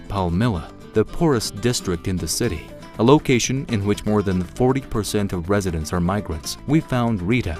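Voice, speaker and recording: A man reading scripted narration for a documentary. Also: lowish voice. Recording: guitar music under the voice.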